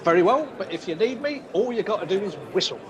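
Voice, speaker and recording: Cheeky, lively London greengrocer. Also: male voice, high-pitched, quick pace, a crowd in the background. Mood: chummy, teasing. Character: cheeky, chummy young male London greengrocer. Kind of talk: old-time radio play acting.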